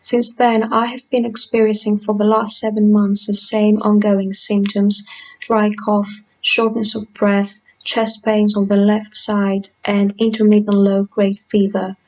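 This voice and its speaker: Flat-voiced young woman from Greece. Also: medium pitch, unhurried. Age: 20s. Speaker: patient giving testimony. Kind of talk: read personal testimony.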